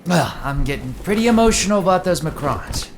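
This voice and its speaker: A woman playing a wry, excitable rogue agent in love, in an audio drama. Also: lowish voice, a little fast, a little hiss or hum, close to an everyday voice. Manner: wry, animated.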